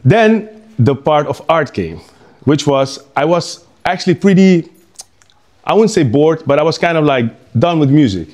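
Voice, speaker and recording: A man's voice: a little high, expressive, moderate pace, darkish tone. Hesitant man giving a rambling artist talk. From Curaçao. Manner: rambling, hesitant, many fillers and restarts. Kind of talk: informal artist talk to an audience.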